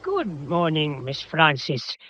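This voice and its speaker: An actress playing a proper, deferential English household servant with a formal, measured manner in a radio mystery drama. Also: female voice, deep voice, huge pitch swings, fairly bright tone, slight background noise, exaggerated voice. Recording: dull, muffled lo-fi audio.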